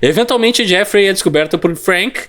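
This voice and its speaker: A man with a slightly raised voice co-hosts a relaxed movie podcast. He reads through a story summary, tripping over words now and then and tossing in wry comments. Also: high voice, moderate pace. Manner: reads a plot summary with joking asides and stumbles. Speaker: podcast co-host. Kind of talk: casual film discussion.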